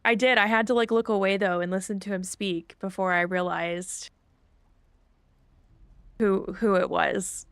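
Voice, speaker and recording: Female game streamer, casual and chatty, noticeable vocal fry, dry close mic. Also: mid-range voice, fairly even intonation, measured pace, pauses often, no echo. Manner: chatty, thinking aloud. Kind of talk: casual livestream chat while playing.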